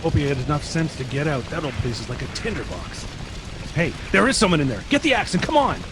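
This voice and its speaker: Excitable radio fireman, male actor: very quick, swooping, urgent delivery with music and rain behind. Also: on the high side, noisy background. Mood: frantic. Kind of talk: old-time radio drama acting.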